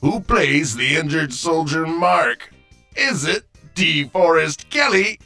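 A man's voice: fairly high pitch, expressive, takes it slowly, bright, forceful tone, soft background music. A man hosting a zany scripted quiz show in a theatrical, exclamatory way with sing-song catchphrases. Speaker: game show host.

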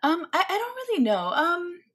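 A woman from the Philippines, a podcast guest, talking in a chatty, animated way with lots of fillers. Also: very high voice, moderate pace. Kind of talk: casual conversational interview.

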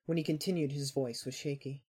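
Woman narrator, soft dark tone, bit raspy, measured dramatic story reading. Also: extremely low pitch, steady tone. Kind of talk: scripted fan fiction narration.

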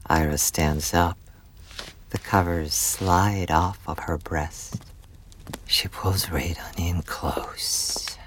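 A man reading scripted narration for a fantasy audio drama in a measured, deliberate manner. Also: low voice, fairly even intonation, whispered. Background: music.

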